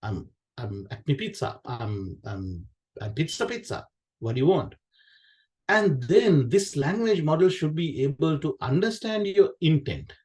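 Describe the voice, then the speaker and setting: A man's voice: on the high side, sing-song, unhurried, darkish tone. A man with a Sri Lankan accent, a native Sinhala speaker, presenting technical material informally, repeating phrases and often checking in with questions. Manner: conversational, repeats phrases, poses questions and tags them with "right?". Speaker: QA director giving a conference session.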